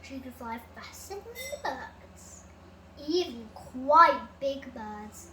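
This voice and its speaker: A high-pitched child reads a bedtime story aloud. The delivery is slow and dramatic, with a very animated melody. The sound comes from an echoey room. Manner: expressive, dramatic reading. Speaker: storybook reader. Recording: roomy echo, loud enough background noise.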